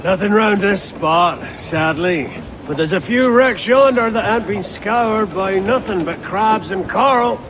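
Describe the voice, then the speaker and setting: An actor playing a gruff, wry, seasoned pirate captain in a video game, with a gravelly voice. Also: male voice, sits high, a little slow. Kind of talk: video game cutscene dialogue. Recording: noisy outdoor ambience behind.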